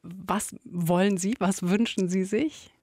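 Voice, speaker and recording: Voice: medium pitch, steady tone, takes it slowly, darkish tone, no room sound, studio quality. A radio host asking formal, polite interview questions.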